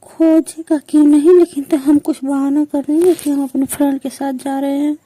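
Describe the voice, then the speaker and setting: High, very mellow young woman. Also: level tone, moderate pace, dry recording, rough recording. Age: young adult.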